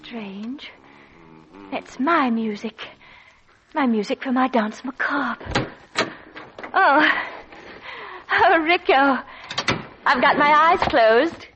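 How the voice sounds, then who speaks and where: An actress playing a polite, nervous dancer with a genteel, hesitant, pleading manner in an old radio drama. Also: female voice, high voice, extremely slow, pauses often. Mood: nervous, wondering. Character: polite, nervous lady dancer, easily frightened. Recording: muffled vintage radio recording, faint noise.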